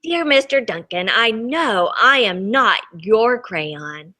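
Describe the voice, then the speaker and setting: A woman acts out a fed-up little storybook crayon, desperate to be saved. The voice is whiny and exasperated, drawn out slowly, with lively rises and drops in pitch. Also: full character voice. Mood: exasperated, pleading. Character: desperate, fed-up toddler's chunky crayon begging for rescue.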